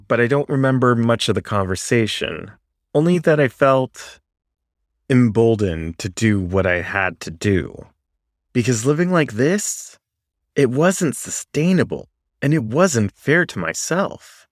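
A man's voice: medium pitch, lively intonation, a little slow, dry recording, clean studio sound. A man narrating a first-person story in an earnest, confessional manner.

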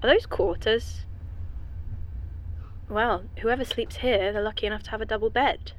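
Attrice che fa una giovane britannica ironica, rumore di fondo. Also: female voice, a little high, moderate pace, slight background noise, close to an everyday voice. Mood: pensive, curious. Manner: sarcastic, informal, sweary, talks to herself. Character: wry, nosy young woman stranded on a time-travelling train. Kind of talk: naturalistic audio drama acting.

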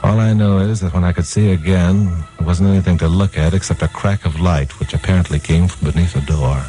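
Deep-voiced wisecracking radio detective played by a man. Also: fairly even intonation, brisk, mellow, natural voice, poor audio. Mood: wry narration. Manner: wry, slangy, self-mocking. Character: hardboiled wisecracking radio detective hero, narrating his own case. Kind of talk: 1950s radio crime drama acting and narration. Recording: 1950s radio recording with music.

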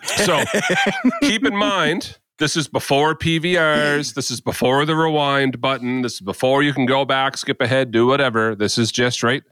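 A man's voice: medium pitch, normal speed, bright, forceful tone, smooth, dry recording. A man co-hosting a podcast, telling a story in casual chat, laughing and acting out the quotes. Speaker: podcast co-host.

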